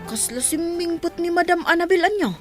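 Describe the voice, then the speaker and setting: A woman's voice: very high voice, fairly quick, over music. A woman voicing an eager young male servant who talks earnestly. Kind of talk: radio drama acting.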